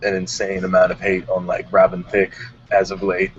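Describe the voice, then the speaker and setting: Male talk-show host, very low register, casual panel chat, muffled recording with faint noise. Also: completely flat delivery, moderate pace. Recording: dull audio with background noise.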